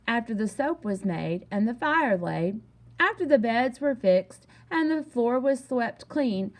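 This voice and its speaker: A woman reading a children's story aloud in an animated, expressive way with emphatic delivery. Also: on the high side. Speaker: storybook reader.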